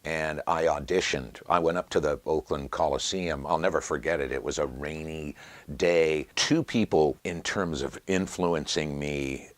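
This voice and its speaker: Very deep-voiced American man in his sixties. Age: 60s. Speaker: stadium announcer and voiceover artist. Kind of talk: reflective interview talk.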